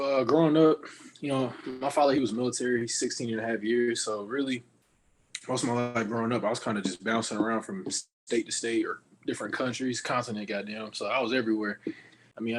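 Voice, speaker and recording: A man's voice: medium pitch, fast, slight background noise, low-quality recording. Laid-back young man telling stories. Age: 20s. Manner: relaxed, slangy storytelling. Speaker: podcast guest. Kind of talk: casual chat.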